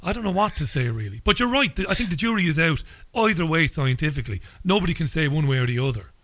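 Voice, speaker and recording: A man's voice: on the high side, very animated, fast talker, a bit bright. A man with an Irish accent interviewing a guest, talking hesitantly with many ums. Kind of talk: casual interview talk.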